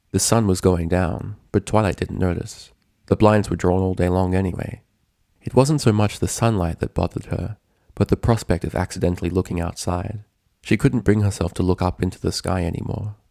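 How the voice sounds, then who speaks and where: A man's voice: low voice, vocal fry, warm tone, no echo, studio quality. A man reading a story aloud as a scripted narration. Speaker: fan fiction narrator.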